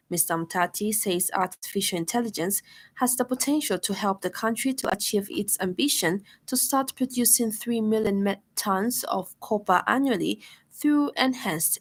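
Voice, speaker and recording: A woman with a Zambian accent reads a formal news bulletin as a radio newsreader. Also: mid-range voice. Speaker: news reader. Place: radio studio. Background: music.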